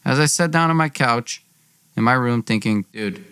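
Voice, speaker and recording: A man's voice: medium pitch, normal speed, pushed and bright, clean tone, dry recording. A young man telling a dramatic personal story as a podcast host, theatrical and slangy in his delivery.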